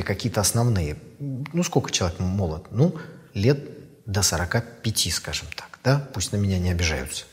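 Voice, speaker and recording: A man in his fifties, an author, giving thoughtful interview answers in a measured way with pauses and fillers. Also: fairly low pitch, long reverb tail. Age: 50s.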